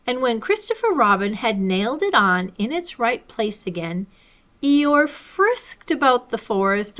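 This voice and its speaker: A woman reading a children's story aloud as a library storytime host, with expressive, deliberate phrasing. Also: a little high.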